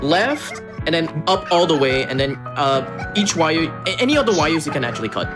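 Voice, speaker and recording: A man's voice: fairly high pitch, very animated, normal speed. A man giving chatty, giggly live commentary on a speedrun, with lots of fillers. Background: game music.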